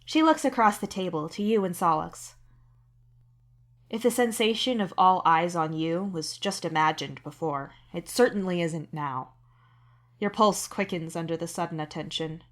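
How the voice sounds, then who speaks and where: A woman reading scripted fiction as narrator, in an introspective, expressive way. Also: fairly low pitch, moderate pace. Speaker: narrator and author reading own fan fiction.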